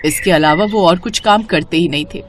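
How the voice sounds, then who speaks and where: A woman narrating a scripted cartoon moral story in an expressive storytelling manner. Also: on the low side, a little fast, a little hiss or hum.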